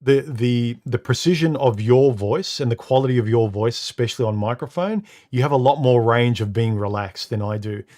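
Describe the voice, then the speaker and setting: Guy doing a live stream at home, deep kinda muffled voice, lots of uh and um, keeps repeating words. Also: warm tone. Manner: halting, repeats words, many uh and um fillers. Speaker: live stream host reading comments. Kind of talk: casual live stream talk. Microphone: studio microphone.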